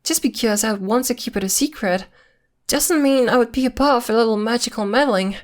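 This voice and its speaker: A woman's voice: fairly high pitch, fairly quick, lightly acted. A woman playing a possessive girlfriend who is secretly a witch, coy and sweetly menacing, in an ASMR roleplay. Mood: coy, teasing. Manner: coy, teasing, veiled threats in a sweet tone.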